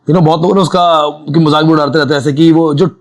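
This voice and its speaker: A man's voice: a little high, fairly even intonation, fast. A man hosting a podcast, asking questions in encouraging, casual Hindi mixed with English. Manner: mixes English into Hindi, encouraging, many you-knows. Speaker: podcast host. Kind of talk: casual interview chat.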